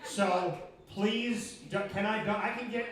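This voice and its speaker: High-voiced male host in an echoey room. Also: steady tone, measured pace, fairly bright tone. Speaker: show host. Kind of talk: casual live on-stage interview. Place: pub stage, in front of an audience. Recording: echoey pub, distant mic, crowd chatter.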